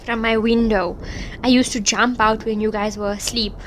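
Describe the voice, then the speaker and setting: A woman's voice: a little high, flat delivery, moderate pace, natural voice. A woman playing a defiant, pleading teenage daughter in an audio drama. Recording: loud background noise.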